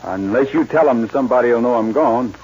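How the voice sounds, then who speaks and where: An actor playing a headstrong, daring young cowboy in a 1940s radio western. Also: male voice, medium pitch, brisk, a little mellow, breathy edge. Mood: determined. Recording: dull old radio recording, faint music.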